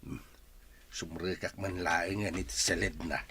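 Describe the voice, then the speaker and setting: A man's voice: low-pitched, level tone, unhurried, sharp tone, breathy edge, exaggerated voice. A man playing a worried, consoling father in an emotive, pleading way for an audio drama. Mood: concerned. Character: worried, consoling father of a heartbroken grown son. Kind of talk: Filipino audio drama acting.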